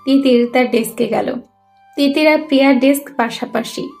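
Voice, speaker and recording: A woman's voice: sits high, normal speed, gravelly, a little mellow, faint music behind. A woman reading scripted story narration as the narrator.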